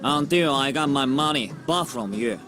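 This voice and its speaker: A man's voice: medium pitch, normal speed, noisy background, lightly acted. An actor playing a defensive, easily offended young male scammer in an English anime dub. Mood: pushy. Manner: defensive, touchy. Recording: dub clip with music underneath.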